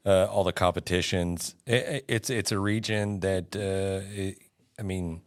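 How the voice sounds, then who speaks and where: A man with a Texan accent co-hosting a barbecue podcast, speaking in halting, playful casual chat. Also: sits low, steady tone. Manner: halting, restarts often, playful. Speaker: podcast co-host.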